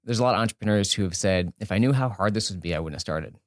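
Low-voiced man in casual, dry-sounding interview. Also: racing, dry recording. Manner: informal, thinks aloud, often breaks off and rephrases. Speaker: podcast guest, entrepreneur and author.

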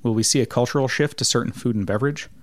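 A man hosting a podcast, reading a measured intro and then asking questions with some hesitation. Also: medium pitch, dry recording, clean studio sound. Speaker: podcast host. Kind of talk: scripted intro then casual interview.

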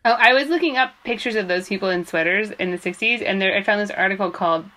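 A woman's voice: medium pitch, fast talker, sharp tone, low-quality recording. A woman co-hosting a casual podcast, reacting playfully and giggling often. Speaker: podcast co-host. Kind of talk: casual chat.

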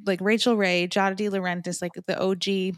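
A woman's voice: medium pitch, flat delivery, brisk, no echo, studio quality. A young woman from Michigan chatting as a podcast guest, telling stories with lots of "like"s and enthusiasm. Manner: chatty, enthusiastic storytelling with many "like"s. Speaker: podcast guest, cookbook author. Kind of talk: casual interview chat.

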